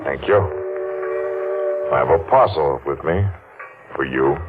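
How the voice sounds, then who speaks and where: An actor playing a menacing, mysterious male stranger who speaks in a slow, cold, clipped way. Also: rumbling low, noisy background. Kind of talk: 1940s radio acting. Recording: tinny old radio broadcast with music.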